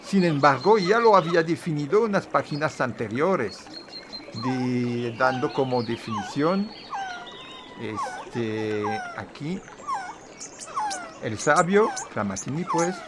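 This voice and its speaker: A man's voice: a little high, huge pitch swings, takes it slowly. Man lecturing with fillers, noisy room. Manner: hesitant, full of fillers like "este" and "pues"; says his own Spanish is a bit crooked. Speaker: video presenter on Nahua history. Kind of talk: informal lecture, partly read aloud.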